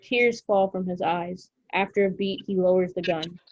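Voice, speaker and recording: A woman's voice: on the low side, fairly even intonation, normal speed, a little mellow, muffled recording. A woman narrating the stage directions of a script at a table read.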